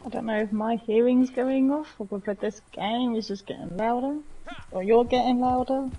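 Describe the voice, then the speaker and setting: Teasing woman co-player, bright higher voice, heard from afar over voice chat with faint noise. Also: steady tone, far from the mic, muffled recording. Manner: teasing, playful, quick to laugh. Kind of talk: casual gaming chat.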